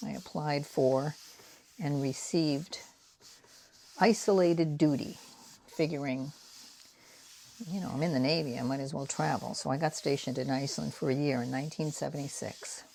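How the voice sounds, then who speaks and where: A low, gravelly-voiced woman in her sixties with a light Boston accent talks her way through a crafting session. She chats and rambles, often slipping into soft whispers as she works. A faint hiss sits under her voice. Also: steady tone, moderate pace, long pauses. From New England, United States. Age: 60s. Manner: chatty and rambling, with soft whispered asides while working. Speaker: artist and craft vlogger. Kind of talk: casual chat while making prints.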